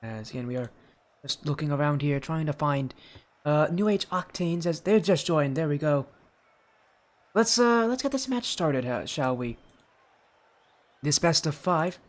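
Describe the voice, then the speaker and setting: A teenage boy with a high-pitched, youthful voice, chatting with his live stream audience and casting a video game match, loud and excited on goals. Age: teens. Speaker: esports caster. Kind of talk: live stream chat and play-by-play commentary.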